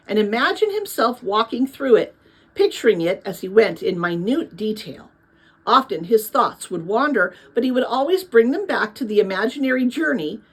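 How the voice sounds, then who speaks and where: A woman's voice: fairly high pitch, slightly rough, poor audio. A woman narrating a children's novel as a read-aloud. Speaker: audiobook reader.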